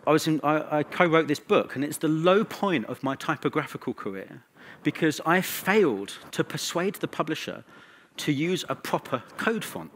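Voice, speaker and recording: A man speaking as a conference presenter in a technical talk, with a wry, opinionated manner and emphatic pauses. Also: on the high side, animated, fairly bright tone, a little hiss or hum.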